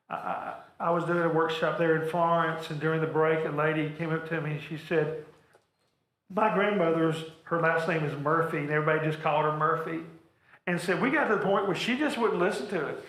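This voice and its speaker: A man's voice: fairly high pitch, fairly quick, a bit bright. A man presenting a church workshop to an audience, talking earnestly and stumbling over repeated words. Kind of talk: practical talk to a church audience. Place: church hall. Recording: roomy echoing hall.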